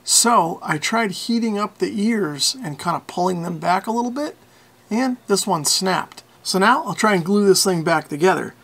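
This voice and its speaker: A man with a fairly high voice and plenty of vocal fry narrates a hands-on repair tutorial. His delivery is informal and folksy, with a self-deprecating streak. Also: lively intonation, moderate pace, fairly bright tone. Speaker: radio repair hobbyist and video host.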